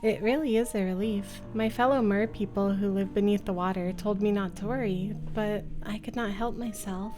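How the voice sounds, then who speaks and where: A woman's voice: mid-range voice, steady tone, moderate pace, few pauses, over music, close to an everyday voice. A woman voicing a sweet, well-bred young mermaid with polished, formal speech for a visual novel. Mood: relieved. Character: refined, girlish, amicable young female mermaid, sweetly excited. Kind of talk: visual novel character read aloud.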